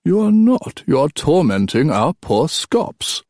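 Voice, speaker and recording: A man voicing an old, drowsy king and wry father, telling tales slowly and deliberately in an audiobook. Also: a little high, big pitch swings, darkish tone, no echo, studio quality, pitched above the performer's own voice, full character voice. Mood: wry, teasing. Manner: slow, deliberate storyteller's delivery with sly teasing.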